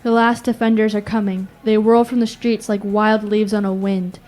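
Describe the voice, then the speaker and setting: A woman's voice: mid-range voice, level tone, moderate pace, darkish tone, slight background noise, lightly acted. A teenage girl playing an urgent announcer in a verse radio play, declaiming in short phrases as she watches a city fall. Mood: tense watching. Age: teens. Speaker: student actor. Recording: music behind the voice.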